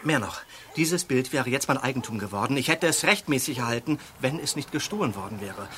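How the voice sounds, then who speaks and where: Actor as agitated, bright-voiced man in trouble. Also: mid-range voice, expressive, brisk, slight background noise, lightly acted. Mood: urgent, insistent. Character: agitated man in trouble, fleeing his family, insistent. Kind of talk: German audio drama acting.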